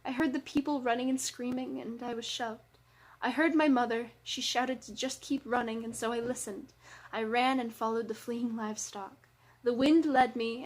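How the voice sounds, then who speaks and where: A woman's voice: on the high side, natural voice, low-quality recording. A woman voicing a frantic, pleading young man, a blind human refugee in a fantasy story. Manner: frantic, exclaiming.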